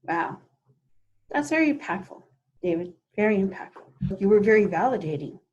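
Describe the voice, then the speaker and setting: A woman with a medium-pitched voice talks as a client in a coaching session. Her delivery is conversational, as in a roleplay followed by reflection. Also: animated, moderate pace, a little mellow, muffled recording.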